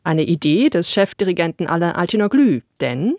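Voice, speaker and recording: A woman's voice: lowish voice, big pitch swings, brisk, darkish tone, no room sound. Woman presenting classical radio, dry studio. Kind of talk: scripted radio narration.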